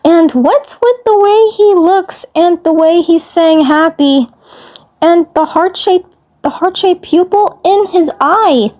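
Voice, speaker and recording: A woman's voice: very high voice, slow pace, a little mellow, pitched above the performer's own voice. A woman voicing a frightened, bewildered boy who stammers and repeats himself in an amateur cartoon fan story. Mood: startled, puzzled.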